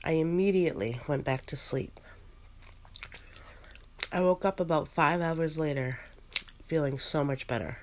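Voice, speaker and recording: A woman chewing gum as she narrates a first-person ghost story in an ASMR style, pausing between short phrases. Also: low voice, darkish tone, slight background noise. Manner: chews gum while narrating.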